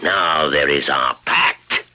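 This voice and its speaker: A man's voice: rumbling low, slow, pushed and bright, muffled recording, full character voice. A man playing an evil, gloating ghost of a long-dead swordsman in old-time radio horror, theatrical and sneering. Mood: commanding, triumphant.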